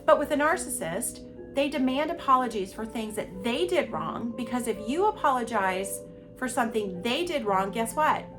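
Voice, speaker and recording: A woman's voice: fairly high pitch, animated, moderate pace, over music. A middle-aged woman hosting a personal monologue podcast, talking candidly and emphatically with a stuffy voice from a cold. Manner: candid, emphatic, repeats words for stress. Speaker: podcast host. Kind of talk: personal monologue on abuse recovery.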